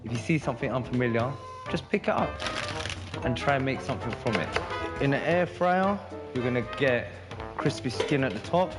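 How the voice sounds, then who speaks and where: A man hosts a food show in a relaxed, encouraging voice-over. His pitch sits mid-range, with music playing underneath. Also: fairly quick. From London, England. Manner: easygoing, encouraging. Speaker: cooking show host. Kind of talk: presenter voice-over.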